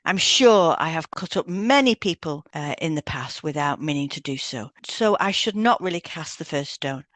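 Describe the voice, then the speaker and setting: A woman's voice: deep voice, expressive, normal speed, no room sound. A woman reading a semi-scripted explanatory podcast as co-host.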